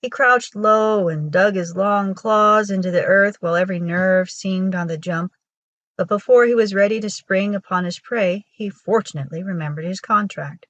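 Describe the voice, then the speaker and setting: A woman with a husky voice reading a children's folktale aloud as a storyteller. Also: medium pitch, normal speed, fairly bright tone, dry recording, dull audio. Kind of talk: scripted storybook narration for children.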